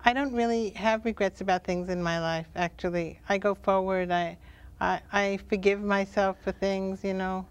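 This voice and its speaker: A woman guest author chatting casually on a live talk show, speaking in a reflective, deliberate way with drawn-out phrases. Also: medium pitch, fairly even intonation, clean tone.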